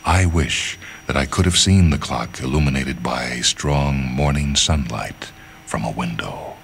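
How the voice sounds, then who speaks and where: A man narrating literary fiction as a dramatic reading, in a measured, deliberate manner with pauses. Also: extremely low pitch, creaky, a bit bright, a little hiss or hum. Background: music.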